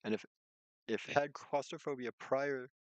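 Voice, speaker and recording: A man's voice: mid-range voice, fairly even intonation, a little slow, no echo, dull audio. A man streaming a visual novel, reading its narration aloud with casual commentary and sometimes stumbling over lines and rereading them. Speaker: video game streamer.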